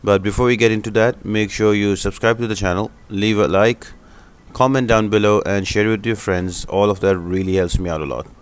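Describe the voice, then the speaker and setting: A man's voice: lowish voice, steady tone, fairly quick, clear, resonant tone. A man hosting a gaming channel, giving explanatory step-by-step commentary for a video game guide. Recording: music behind the voice. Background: music.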